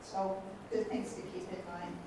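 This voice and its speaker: A woman adding emphatic expert remarks at a training session, with a big, loud voice. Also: fairly low pitch, level tone, a little slow. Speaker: expert panelist. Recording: echoey room, mic far off, noisy.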